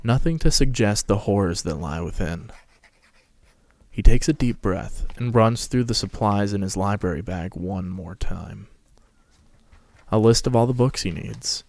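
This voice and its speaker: A man's voice: lowish voice, a little slow, vocal fry, a little mellow, no room sound. A man narrating a scripted story as a podcast host, reading it dramatically.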